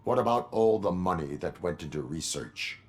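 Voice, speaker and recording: A stern cyborg police officer from a video game. The voice is low-pitched and deadpan. He speaks in terse, formal statements, stoic and dutiful. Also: moderate pace. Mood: stern, flat. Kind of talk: video game character acting.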